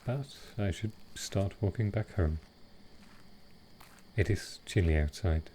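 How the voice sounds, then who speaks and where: A man voicing a gentle, courtly vampire lord in a roleplay audio drama, speaking softly and formally with halting care. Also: low voice, slight background noise. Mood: quiet, musing. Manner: soft, slow, formal, halting. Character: gentle old-world male vampire lord, protective and courtly.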